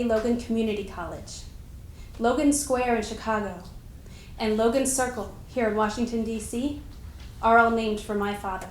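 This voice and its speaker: A woman playing a dignified, proud senator's daughter, giving a formal, measured first-person dramatic reading. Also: a little high, lightly acted. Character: Mary Logan Tucker, dignified senator's daughter, proud society member. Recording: echoey room with faint noise.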